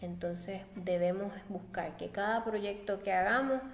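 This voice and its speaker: A woman's voice: mid-range voice, a little slow. A woman, a designer being interviewed, answering thoughtfully and searching for her words while seated at a table. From Puerto Rico. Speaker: guest designer. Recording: roomy echo with long reverb.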